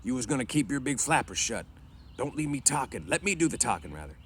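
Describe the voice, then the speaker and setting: A man voicing a bossy, defensive, quick-tempered ranch hand in a storybook read-aloud, with rough, slangy, scolding talk. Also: mid-range voice, sing-song, fairly bright tone, a little hiss or hum, voice pushed up. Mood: scolding, irritated.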